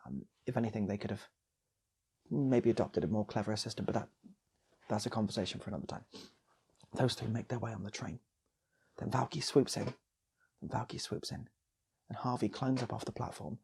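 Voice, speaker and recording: A man's voice: lowish voice, brisk, gravelly, pauses often, darkish tone, breathy edge. A man telling an improvised comic story in a loose, rambling way, repeating phrases as he goes. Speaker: storyteller and channel host.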